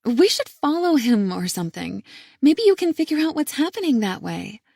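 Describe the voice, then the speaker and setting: A woman voicing a worried, caring adult sister who speaks softly and pleads with earnest concern in an audiobook. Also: sits high, very animated, moderate pace, vocal fry, husky, no room sound, clean studio sound, voice pushed up, full character voice. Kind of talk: audiobook character reading.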